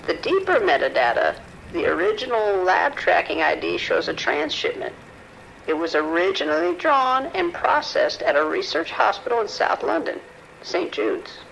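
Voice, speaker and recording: Anxious male researcher, acted, very creaky high voice that swings widely, formal and hesitant, radio drama phone report. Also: sing-song, moderate pace, a bit bright, slight background noise, full character voice, poor audio. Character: apologetic, worried research scientist reporting trouble, male. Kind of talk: audio drama phone dialogue.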